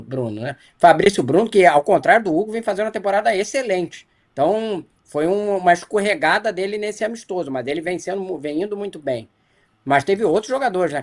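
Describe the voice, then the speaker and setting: A Brazilian male sports journalist giving a critical player-by-player analysis in a studio debate, often ending points with a quick tag question. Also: high voice, darkish tone. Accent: Brazilian Portuguese.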